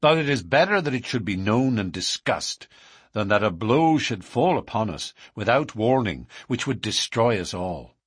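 A man voicing an earnest, urgent young outlaw in a storybook, intense and deliberate, with formal Irish turns of phrase. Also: lowish voice, a bit bright, slightly rough, no echo, studio quality, exaggerated voice. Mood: solemn warning.

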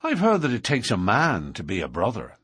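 A bold young-blooded outlaw from an audiobook, played by a man. He is self-assured and matter-of-fact in his defiance, with a slightly husky voice, lively intonation and a close, dry sound. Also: fairly low pitch, fairly quick, no room sound, exaggerated voice. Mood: cool, assured. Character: cool, bold male outlaw newcomer, quick-tongued. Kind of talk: audiobook character dialogue.

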